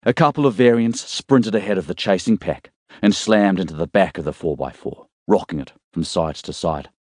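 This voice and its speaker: A man's voice: low voice, normal speed, darkish tone, no room sound, clean studio sound. A man reading scripted fiction as a dramatic audiobook narrator.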